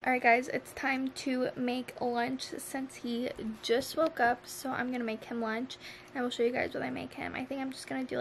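A woman's voice: high-pitched, gravelly, slight background noise. Chatty young woman vlogging at home. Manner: chatty, addresses viewers directly. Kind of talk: casual vlog talk to camera. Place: home living room, indoors.

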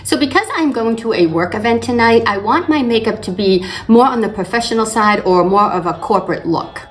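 An older woman hosting a beauty channel, slightly raspy from allergies, calmly explaining each makeup step in front of a fixed camera. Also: on the high side, a little fast. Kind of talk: makeup tutorial narration. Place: indoors in front of a plain backdrop.

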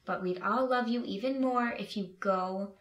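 A woman's voice: medium pitch, moderate pace, lightly acted. A young woman playing a weary, sarcastic babysitter in a naturalistic short film, conversational and exasperated. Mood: coaxing, patient. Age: young adult. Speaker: actress in a short horror film. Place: indoors in a house living area. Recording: echoey room with background noise.

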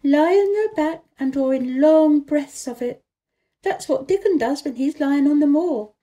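A woman voicing an excited young girl brimming with joy, gushing and exclaiming in a children's storybook reading. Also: sits high, pitched above the performer's own voice. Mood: eager.

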